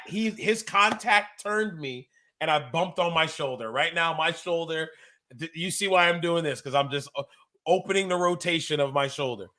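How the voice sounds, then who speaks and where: Bright, forceful man in his thirties. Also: on the high side. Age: 30s. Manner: informal, slangy, talks like a wrestling insider. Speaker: co-host of a pro wrestling podcast; active pro wrestler. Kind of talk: casual podcast chat and commentary.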